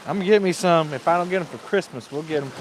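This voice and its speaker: A man's voice: on the high side, rapid-fire, a crowd in the background, rough recording. A man, chatting easily outdoors on a windy lake bank and reading out viewer comments. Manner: easygoing, reads out viewer comments. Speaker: guest reading chat. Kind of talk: casual livestream chat.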